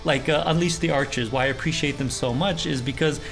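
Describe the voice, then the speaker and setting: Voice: medium pitch, brisk, vocal fry, low-quality recording. Casual reaction channel host, slangy n jokey, swears a bit, faint music in back.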